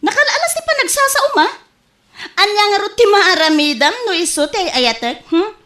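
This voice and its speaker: High, melodramatic Ilocano woman in love. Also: expressive, moderate pace, bright, forceful tone, exaggerated voice. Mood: indignant, scolding. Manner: melodramatic, scolding. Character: Linda, lovestruck, hot-tempered woman courting an old man. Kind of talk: Ilocano radio comedy drama acting.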